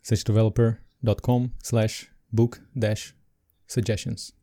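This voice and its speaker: A man with English as a second language, co-hosting a podcast in casual chat, animated and laughing between points. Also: fairly low pitch, unhurried. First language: not English. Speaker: podcast co-host.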